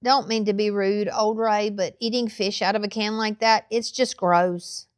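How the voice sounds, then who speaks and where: A woman's voice: mid-range voice, fairly even intonation, normal speed, smooth, natural voice. A woman voicing a curious, hopeful young boy with an earnest, polite manner, in a storybook reading. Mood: polite, frank. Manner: earnest, questioning.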